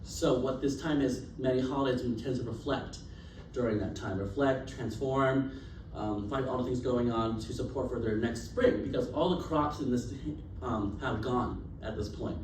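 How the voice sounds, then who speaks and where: A man's voice: mid-range voice, moderate pace, darkish tone. A young man giving a casual class presentation, chatty, with lots of fillers and easy laughs. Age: young adult. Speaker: student presenter. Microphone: distant recording device. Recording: roomy, distant, noisy classroom.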